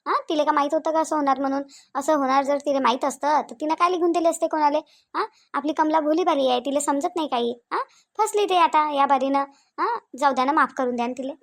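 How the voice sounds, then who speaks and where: A sensible, protective village sister-in-law, played by a woman, high in pitch. She reasons insistently in a rural Vidarbha Marathi accent, as in a homemade family drama. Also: fast talker, gravelly. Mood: calming.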